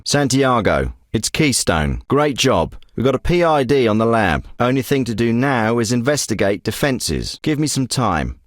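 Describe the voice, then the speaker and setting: Man doing a special forces handler, terse, businesslike, expressive pitch, dry studio sound. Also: dry recording, natural voice. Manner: terse, businesslike radio-style briefings. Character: clipped, steady male military handler, middle-aged. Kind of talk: video game voice acting. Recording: dry close studio recording.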